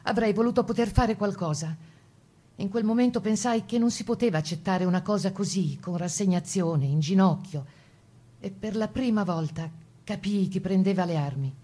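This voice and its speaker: A woman playing Italian partisan women who recall the Resistance, reading their testimony for radio in an earnest, measured way. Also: mid-range voice, mellow, slightly rough, lightly acted. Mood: grave, resolute.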